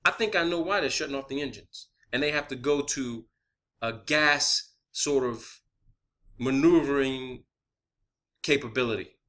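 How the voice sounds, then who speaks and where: A man's voice: mid-range voice, unhurried, bright, forceful tone. A man delivering a rambling, opinionated video monologue, swearing heavily, skeptical and insistent, repeating phrases for emphasis. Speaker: online video host.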